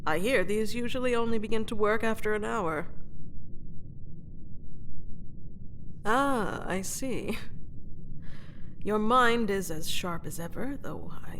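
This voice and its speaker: A woman's voice: medium pitch, a little slow, a bit bright, a little hiss or hum. A woman playing a proud, sardonic captive fantasy queen in a scripted dramatic roleplay, with dry, mocking, formal delivery. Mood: dry, grudging. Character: proud captured fantasy queen, sardonic, haughty, bitterly sparring.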